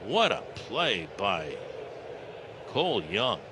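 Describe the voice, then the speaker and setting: A man giving live radio baseball commentary in an animated, folksy, conversational way. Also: fairly low pitch, takes it slowly. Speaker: baseball play-by-play announcer. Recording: noisy live ballpark radio broadcast. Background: outdoor stadium ambience.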